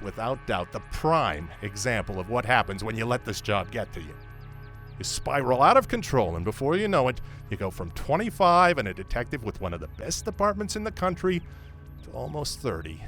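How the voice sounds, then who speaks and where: A man acting as a brusque police sergeant in an audio drama. His voice is low, with an authoritative, scornful and dismissive delivery, and music plays clearly behind him. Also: a bit bright.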